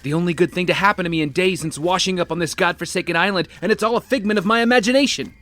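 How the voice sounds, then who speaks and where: An actor playing a starving, guilt-wracked young castaway in an audio drama, emotional and self-pitying. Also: male voice, sits high, very fast, sharp tone. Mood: bitter.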